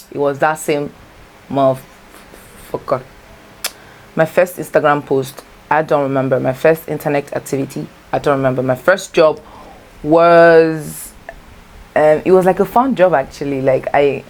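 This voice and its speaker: Very deep-voiced Nigerian woman chatting. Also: a little mellow. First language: Igbo. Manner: chatty, rambling, self-correcting. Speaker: vlogger. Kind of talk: casual storytime chat. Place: home, natural light.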